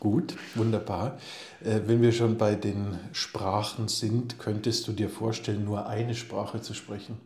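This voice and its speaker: Husky-voiced man hosting a podcast. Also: fairly low pitch, little pitch movement, a little mellow. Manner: formal, measured reading. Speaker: podcast host. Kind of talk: scripted introduction and interviewing.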